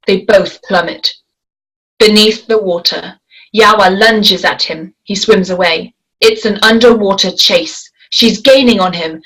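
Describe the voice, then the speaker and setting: A woman narrating stage directions at a table read, in a measured, descriptive way. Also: medium pitch, a bit bright, poor audio.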